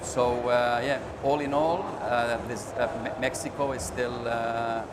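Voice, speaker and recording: A man answering as a panelist, speaking slowly with many filler sounds between phrases. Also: medium pitch, fairly even intonation, very noisy. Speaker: panelist, regional director.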